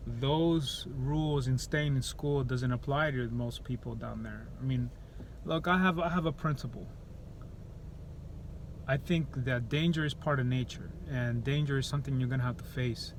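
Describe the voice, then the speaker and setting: A man's voice: mid-range voice, steady tone, normal speed, slight background noise. A man from El Salvador talking straight to camera in an opinionated vlog, blunt and profane, often restarting his sentences. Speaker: YouTuber.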